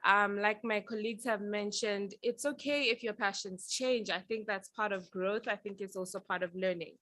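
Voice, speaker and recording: Bright-voiced young woman telling her story. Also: mid-range voice, fairly even intonation, moderate pace, dry recording. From Zambia. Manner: earnest, reflective, with some filler ums. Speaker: panel speaker. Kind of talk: prepared personal talk at a panel.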